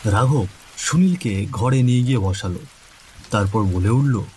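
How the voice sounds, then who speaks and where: A man's voice: lowish voice, unhurried, warm tone. A man giving a scripted, suspenseful narration of a story. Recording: faint rain noise behind voice. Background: rain ambience.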